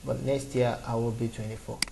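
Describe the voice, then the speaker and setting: A man's voice: mid-range voice, flat delivery, a little fast, creaky, warm tone, slight background noise, rough recording. A man in his twenties teaching a beginner programming lesson off the cuff, talking through each step with fillers and restarts. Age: 20s. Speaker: programming tutor. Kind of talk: screen-recorded coding lesson.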